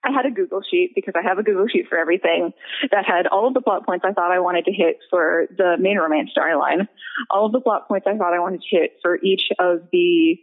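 A woman's voice: lowish voice, fast. A woman chatting casually as an interview guest, self-deprecating and giggly, heard over a remote call line. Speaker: guest, audio drama writer and producer. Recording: tinny narrow phone-like line.

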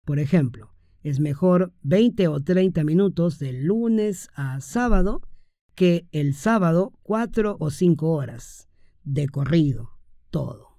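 Woman, language teacher, unhurried, mellow tone, dry close recording. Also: deep voice, expressive, no echo. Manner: patient, explanatory, addresses the learner directly. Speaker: Spanish teacher. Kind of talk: slow, clear Spanish lesson for learners.

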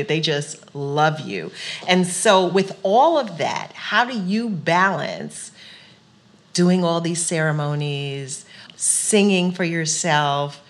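A woman with a low-pitched voice leads a conversational interview. She asks long, framing questions and often answers her guest with short, affirming replies.

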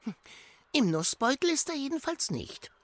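A woman voicing a small, naive, curious creature in a children's audio play, with a husky, drawling, playful delivery. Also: high-pitched, sing-song, normal speed.

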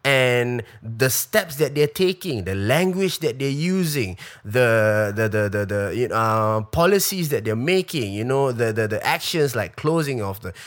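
A man's voice: mid-range voice, lively intonation, unhurried, few pauses. A man in his thirties with a Singaporean English accent, talking off the cuff in a live-stream Q&A. He rambles with stacked fillers and restarts and swears casually. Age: 30s. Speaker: comedian.

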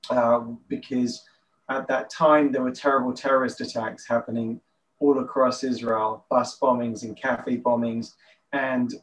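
A man speaks a little far from the mic, with faint room noise behind him. He is articulate and steady, dropping in an occasional "uh" while he lays out his points. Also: mid-range voice. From United Kingdom. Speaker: guest, nonprofit director. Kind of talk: interview answer.

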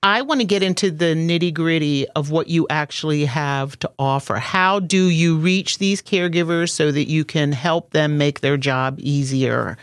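A woman's voice: low voice, moderate pace, hardly any pauses, no room sound, studio quality. A woman hosting a podcast, chatting in an enthusiastic, rambling way with friendly asides. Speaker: podcast host. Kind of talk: casual chat.

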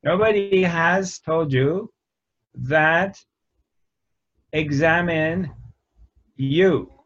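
Slow, measured man teaching spirituality. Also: on the high side, fairly bright tone. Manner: slow, measured, pausing often between phrases. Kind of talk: reflective spiritual talk. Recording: dull lo-fi recording.

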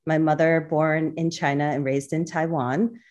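A woman's voice: sits low, fairly even intonation, measured pace, darkish tone, dull audio. A woman obstetrician-gynecologist from Boston, answering interview questions thoughtfully with frequent fillers. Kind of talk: podcast interview.

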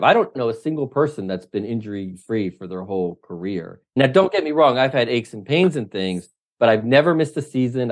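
A middle-aged man, a triathlon coach, answering interview questions in an emphatic, opinionated way, often running through lists. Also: medium pitch. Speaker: triathlon coach, podcast guest.

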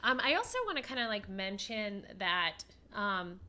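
A woman co-hosting a relaxed podcast, her voice bright and a little nasal from a head cold. She chats loosely, with plenty of ums and pauses. Her pitch sits in the middle of the usual range for a woman. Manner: chatty, with many fillers and pauses. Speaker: podcast co-host. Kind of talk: casual podcast chat.

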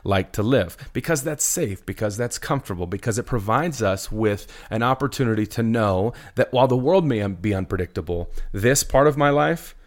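A middle-aged man, a pastor, talking in a relaxed conversational way, earnest and a little preachy, who keeps asking "right?". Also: lowish voice, normal speed, few pauses. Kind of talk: conversational podcast talk.

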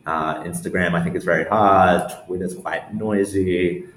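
Casual young male guest, deep voice, halting delivery, echoey room. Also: fairly even intonation, fairly bright tone. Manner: casual, halting, thinking aloud. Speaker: interview guest, newsletter founder. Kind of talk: casual interview answers. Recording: roomy echo, faint background noise.

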